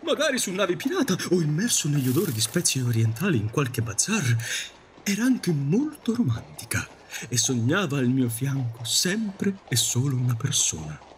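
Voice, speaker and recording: A man plays a wistful, romantic Victorian gentleman ghost in an audio drama. He is a wordy, theatrical storyteller with a highish voice that swoops dramatically, over some background noise.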